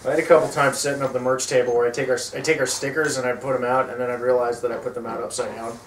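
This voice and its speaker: A man tells stories from the road in a casual interview, wry and dropping deadpan jokes. His voice sits at an ordinary middle pitch. He is picked up from across an echoey room with a faint hiss behind him. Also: racing. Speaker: touring guitarist. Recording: echoey room, distant mic, faint noise.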